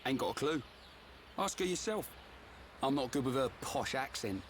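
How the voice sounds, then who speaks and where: Actor as burly young sidekick, informal and blunt British speech, swinging intonation, slight outdoor noise, game cutscene. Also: male voice, medium pitch, animated, moderate pace, lightly acted. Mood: casual, curious. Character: brash, big, blunt young male fighter sidekick. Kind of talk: video game cutscene acting.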